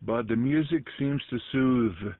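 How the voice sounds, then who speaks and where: A man chatting casually over an amateur radio link, reflective and halting as he searches for words. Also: mid-range voice, a little hiss or hum. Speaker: amateur radio conference participant. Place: voice heard over internet-linked ham radio. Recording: muffled, dry digital radio link audio.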